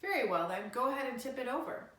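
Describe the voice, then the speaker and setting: A kind schoolteacher from a children's storybook, played by a woman reading aloud, speaking with gentle patience. Her delivery is measured, attentive and questioning, heard in a roomy, echoing space. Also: a little high, very animated, voice pushed up. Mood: gentle, patient.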